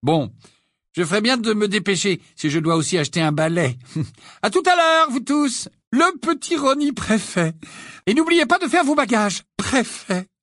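A man's voice: high-pitched, big pitch swings, moderate pace, a bit bright, no room sound, clean studio sound, voice pushed up. A man reading an audiobook voices a doting, fussing middle-aged mother witch in a gushing, affectionate way.

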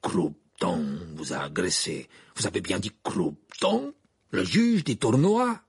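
A man's voice: low-pitched, very animated, takes it slowly, creaky, husky, no room sound, studio quality, exaggerated voice. A man voicing a proud, paranoid wizard headmaster who declaims indignantly in an audiobook story. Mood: alarmed.

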